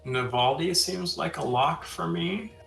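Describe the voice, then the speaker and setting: A man's voice: fairly high pitch, measured pace, creaky, a bit bright. A man talking casually as a player in a tabletop role-playing game. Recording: roomy echo, music under the voice.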